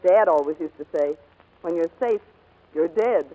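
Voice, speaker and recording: A woman's voice: fairly low pitch, normal speed, warm tone, natural voice. An American actress playing a spirited, stubborn young woman pilot in 1940s radio drama style. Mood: earnest. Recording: noisy vintage 1940s radio recording.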